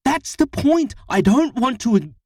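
A man voicing an exasperated woman who is fed up with her partner, with sharp, frustrated outbursts. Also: medium pitch, a little slow, no room sound, studio quality, recorded from a distance, natural voice.

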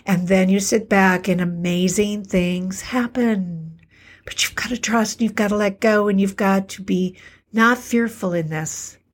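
Slightly hoarse woman, earnest and slow. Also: mid-range voice. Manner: earnest, repetitive, slows for emphasis. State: a little hoarse. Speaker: podcast host, alternative health practitioner. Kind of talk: reflective solo talk.

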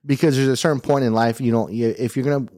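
A man's voice: mid-range voice, fairly even intonation, fast, a little mellow, dry recording, studio quality. A man in his thirties co-hosting a podcast in casual, rambling chat, swearing often and saying "dude" a lot. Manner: profane, laid-back, rambling with lots of "dude". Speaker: podcast co-host.

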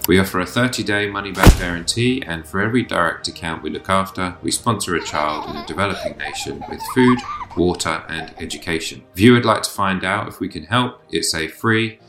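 Man, low voice, scripted sponsor read, roomy sound, faint music behind. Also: fairly bright tone. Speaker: podcast sponsor announcer.

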